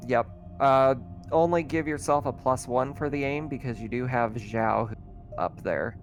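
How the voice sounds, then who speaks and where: A man's voice: medium pitch, a little slow, soft background music. A man running a tabletop roleplaying game as game master, describing scenes in a casual, improvised, conversational way. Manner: casual, conversational, thinking out loud.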